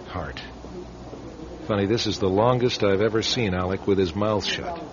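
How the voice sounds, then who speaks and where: A man's voice: on the low side, a little slow, natural voice. A man playing a wry, sardonic middle-aged radio station manager in a conversational radio drama style. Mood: wry, somber. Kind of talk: radio drama narration and dialogue. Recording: dull, hissy old radio recording.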